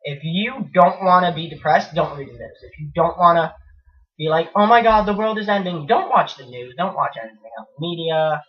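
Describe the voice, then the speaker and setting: A man's voice: fairly high pitch, brisk. A young man chats bluntly and laughs at himself, co-hosting a casual talk show. He sits a bit back from the microphone. The recording sounds dull and lo-fi. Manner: frank, blunt and self-mocking. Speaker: co-host. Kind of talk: casual chat. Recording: distant mic, dull lo-fi sound.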